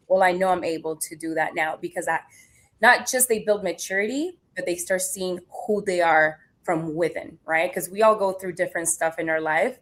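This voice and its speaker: Earnest woman from Venezuela, lowish voice. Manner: earnest, explains at length. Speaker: panel guest, pageant producer. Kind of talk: casual panel conversation.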